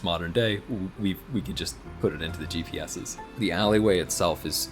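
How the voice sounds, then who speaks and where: Low-pitched man improvising a game scene. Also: fairly bright tone. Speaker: player narrating scenes in a tabletop game. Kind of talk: casual improvised scene narration.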